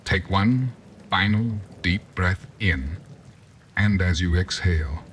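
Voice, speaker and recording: A man with a deep voice leads a guided sleep meditation. He speaks very slowly, calmly and gently, as a soothing narrator giving instructions. The voice sits somewhat away from the microphone, with rain in the background. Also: distant mic, slight background noise.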